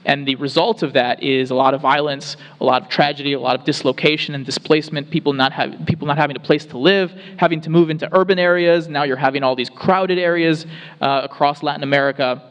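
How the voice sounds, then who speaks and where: Brief man on a town hall panel, earnest and explanatory, slightly high voice, long hall echo. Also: steady tone, fast. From Rio Grande Valley, Texas. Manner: earnest, explanatory, many restarts. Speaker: researcher on panel. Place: town hall meeting room. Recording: reverberant hall.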